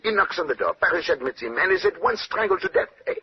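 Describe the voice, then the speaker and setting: An actor playing a brilliant, self-assured Belgian detective with a French-speaking accent, speaking precisely and courteously in old-time radio drama style. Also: male voice, high voice, wildly swooping pitch, quick pace, gravelly, exaggerated voice. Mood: confident, explanatory. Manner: precise, lecturing, punctuates points with questioning "eh?". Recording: dull, noisy old radio recording.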